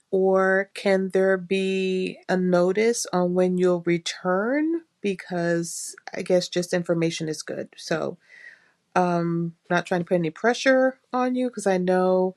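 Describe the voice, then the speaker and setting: A woman leaving a polite, measured voicemail message as a listener. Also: on the low side, a little mellow.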